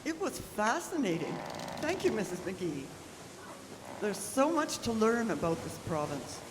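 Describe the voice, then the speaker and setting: A woman's voice: mid-range voice, big pitch swings, measured pace. A woman playing a genteel, loving Edwardian wife with refined, formal phrasing in an old-time radio drama. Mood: fond, polite. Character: genteel, loving wife recovering from an ordeal, 1908. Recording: noisy, echoey live stage recording.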